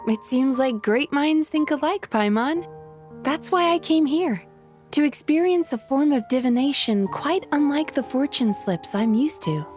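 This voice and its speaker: A gentle young woman speaks politely and thoughtfully in a fairly high voice. It is game character acting, with music underneath. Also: animated, measured pace. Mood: hopeful, polite. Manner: polite, thoughtful, soft-spoken. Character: gentle, polite young female psychologist and aspiring writer.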